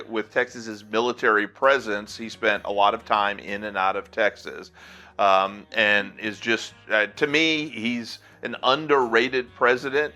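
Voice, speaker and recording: A man's voice: fairly low pitch, sharp tone. An older American man hosting a casual podcast history segment in a conversational, folksy, rambling way. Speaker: podcast host.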